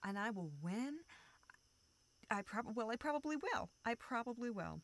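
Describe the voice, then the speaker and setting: Woman acting playful, high-voiced, expressive young friend. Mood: teasing, playful. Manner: teasing, giggly, expressive, halting natural phrasing. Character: playful, teasing young woman, affectionate close friend of listener. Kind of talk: intimate roleplay audio acting, addressed to listener.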